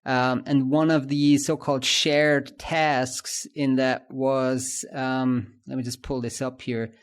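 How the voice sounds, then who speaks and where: A man's voice: medium pitch, level tone, slow. Swiss german accent guy co-hosting a podcast, casual, lots of ums and restarts. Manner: thinks aloud, many fillers and restarts. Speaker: podcast co-host. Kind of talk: casual industry chat.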